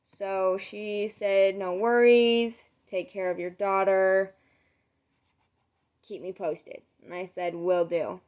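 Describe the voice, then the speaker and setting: A young woman vlogger with a hoarse, nearly lost voice, casually telling a long story to camera with lots of "um"s. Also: mid-range voice, little pitch movement, slow pace, a little mellow. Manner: rambling, many "um"s, retells conversations. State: losing her voice, sore throat.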